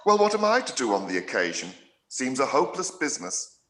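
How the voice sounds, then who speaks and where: A man acts a wry gentleman father in a period radio drama. His medium-pitched voice moves expressively, pausing with dry understatement. The recording sounds roomy. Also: very animated. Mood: weary. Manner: hesitant, drily understated. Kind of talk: period drama live-read radio play.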